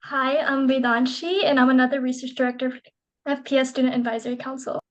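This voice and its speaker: A woman's voice: high voice, fairly even intonation, quick pace, lo-fi sound. A young woman, a student research director, introducing panelists formally. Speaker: student moderator.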